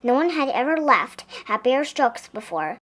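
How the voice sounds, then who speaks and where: A woman's voice: high-pitched, big pitch swings, moderate pace, fairly bright tone. A woman reading a picture book aloud expressively for young children. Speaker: storybook reader.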